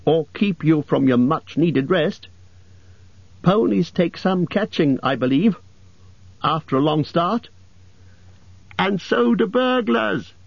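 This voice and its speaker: A man voicing a small, timid but clever male hobbit who talks in a high, flattering squeak. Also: slow, slightly rough, no echo, exaggerated voice. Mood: polite. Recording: dull lo-fi recording.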